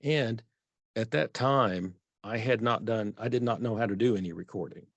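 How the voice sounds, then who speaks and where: A middle-aged Texan man with a low voice, talking earnestly as an interview guest. He restarts and corrects himself as he works through a point. The sound is dry and close to the mic. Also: moderate pace, vocal fry, no room sound. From Texas. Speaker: author guest. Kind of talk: conversational interview.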